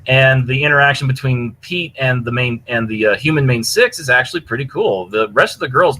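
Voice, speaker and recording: A man's voice: medium pitch, sharp tone, poor audio. A man chatting casually and enthusiastically in a rambling way as a podcast co-host, swearing freely as he recommends stories. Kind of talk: casual chat recommending fan fiction.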